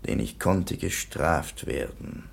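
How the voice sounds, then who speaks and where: A very deep-voiced commissioner with a gravelly creak. He is measured and a little pedantic, setting out matters plainly in radio drama style. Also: heavily acted. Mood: slow reading aloud. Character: matter-of-fact male police commissioner presenting evidence. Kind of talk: German radio play acting.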